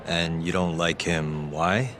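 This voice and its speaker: A cool private investigator in a game cutscene. His low voice is dry and unruffled, with a wry edge, over faint music. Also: steady tone, takes it slowly. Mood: dry, relaxed. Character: laid-back, dry-witted male private investigator. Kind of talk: English video game dub cutscene acting.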